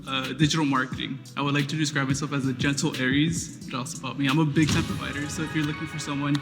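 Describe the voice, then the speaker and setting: Young man with a flat, even tone. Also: mid-range voice, fast, music in the background. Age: 20s. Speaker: dating show contestant. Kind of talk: prepared pitch.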